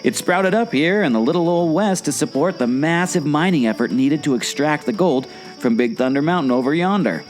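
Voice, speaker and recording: A man playing an excitable, skeptical, wisecracking amateur explorer in a scripted audio drama, with a theatrical, jokey delivery. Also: fairly high pitch, brisk, few pauses, soft background music, lightly acted, poor audio. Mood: playfully boastful. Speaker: co-host of a theme park podcast.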